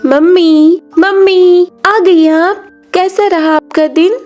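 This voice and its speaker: A woman's voice: very high-pitched, fairly even intonation, slow pace, darkish tone, pitched above the performer's own voice. A woman voicing a sweet, plaintive young orphan girl in a children's story, childlike and pleading. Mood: cheerful. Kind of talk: children's animated story dialogue. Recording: music under the voice.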